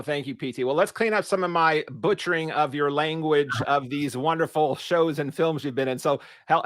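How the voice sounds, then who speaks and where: A man hosts an interview podcast, and his voice sits a little higher than most men's. He rambles enthusiastically with frequent stumbles and uhs, in a dry, close recording with no room echo. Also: a bit bright. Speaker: podcast host. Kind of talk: interview host introduction and questions.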